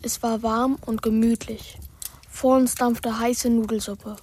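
A woman's voice: on the high side, little pitch movement, a little slow, dark tone. A woman playing Bela, an anxious, thoughtful boy who tells his story in an earnest, hesitant way in a radio play. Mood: uneasy narrating. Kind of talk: radio play acting and first-person narration.

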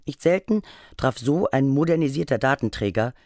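A man's voice: mid-range voice, expressive, moderate pace, a little mellow, no echo, studio quality. A man reading scripted radio documentary narration in a formal, factual manner. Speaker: feature narrator.

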